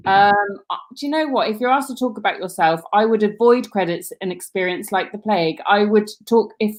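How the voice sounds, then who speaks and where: A British woman working as a casting director, talking candidly in a casual Q&A with many "like"s and restarts. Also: mid-range voice. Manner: candid, rambling, lots of "like". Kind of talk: casual Q&A chat.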